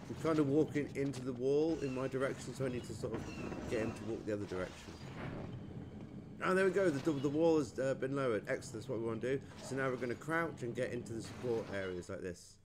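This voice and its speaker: A man's voice: mid-range voice, fast talker. A man giving casual, chatty commentary as he plays through a video game. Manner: chatty, informal. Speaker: gamer, playthrough host. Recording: noisy home game commentary recording.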